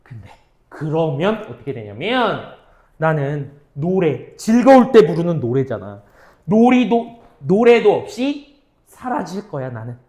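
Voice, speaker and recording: A woman's voice: sits low, sing-song, unhurried, dark tone. A woman teaching exam-prep literature, firing off questions and answering them herself, mixing casual and polite speech. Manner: mixes casual and polite speech, checks understanding often. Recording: roomy echo.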